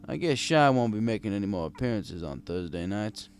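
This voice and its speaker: A man narrates a tale in the first person as a kindly, world-weary old barkeep. His voice sits fairly low with a gravelly creak, and it moves in lively swings through the scripted lines. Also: fairly quick, slight background noise. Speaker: audiobook narrator.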